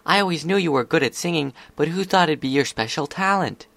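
Storybook young girl pony, uneasy and low in spirits, lifted pitch male narrator performing. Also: animated, brisk, creaky, a bit bright, no echo. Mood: forced cheer. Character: anxious, downcast young female pony filly.

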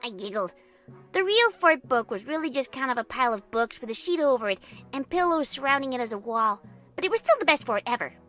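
Very high, excitable little girl. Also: a little fast, soft background music. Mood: gleeful, fond. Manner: gleeful, childlike storytelling. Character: giggly, excitable little girl narrating her play battle. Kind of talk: storybook narration in character.

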